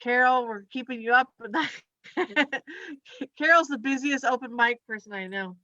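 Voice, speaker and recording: A woman doing a conversational stand-up comedy set in a halting, scattered, giggly way. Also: fairly high pitch, sharp tone, no room sound, muffled recording. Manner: halting and scattered, giggles through own remarks.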